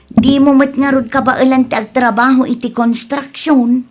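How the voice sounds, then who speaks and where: A woman's voice: high-pitched, fairly even intonation, moderate pace. A woman with an Ilocano accent playing an opinionated, skeptical mother who questions and chides in a radio comedy-drama. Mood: concerned. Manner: questioning, chiding.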